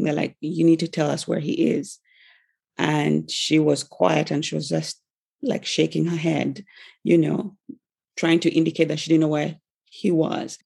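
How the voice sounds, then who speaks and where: A woman from Uganda tells her own story in a measured way, pausing on fillers. Her voice is gravelly and husky, and its pitch stays flat. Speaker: guest storyteller. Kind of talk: personal testimony.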